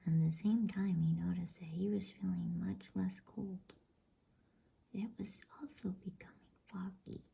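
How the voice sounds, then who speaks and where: A woman reading a novel aloud as a bedtime story in a hushed, unvoiced ASMR whisper. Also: fairly low pitch, creaky, slightly rough, rough recording.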